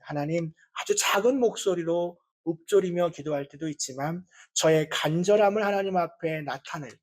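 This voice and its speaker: A male pastor giving a Sunday sermon in an earnest, measured way, with a voice he calls not strong and easily strained. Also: on the high side, a little mellow. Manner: earnest, with measured pauses and frequent fillers.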